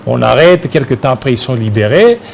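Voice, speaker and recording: A man's voice: mid-range voice, very animated, a little fast, vocal fry. Congolese male interviewer over background noise. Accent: Congolese French. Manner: probing, challenging questions. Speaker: interviewer, host. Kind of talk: political interview.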